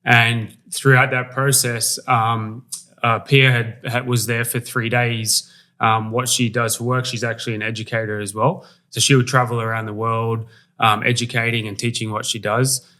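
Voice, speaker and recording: A man in his thirties from Australia with an Australian accent, a podcast guest telling a story in a halting way and repeating words. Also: mid-range voice, flat delivery, sharp tone. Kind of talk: casual storytelling interview.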